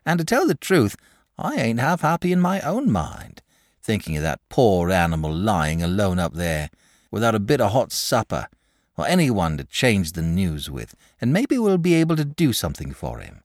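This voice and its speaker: A man's voice: lowish voice, huge pitch swings, normal speed, no room sound, studio quality. A man voicing a sensible, kindly country mother in a storybook, reasoning soothingly in homely rural dialect. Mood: gentle, sympathetic. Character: sensible, kindly rustic mother, shepherd's wife. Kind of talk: storybook character voice.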